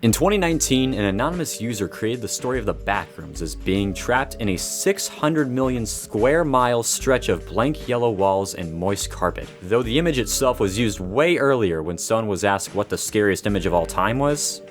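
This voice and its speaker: Lowish-voiced young man, sarcastic. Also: lively intonation, normal speed, hardly any pauses, faint music behind. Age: young adult. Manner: sarcastic, joking, casually profane. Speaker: host of a video essay. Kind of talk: casual scripted comedic commentary.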